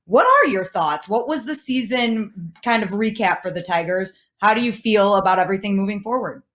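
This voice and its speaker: Woman, average pitch, sports interviewer, conversational questioning, host delivery. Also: expressive, normal speed, a bit bright.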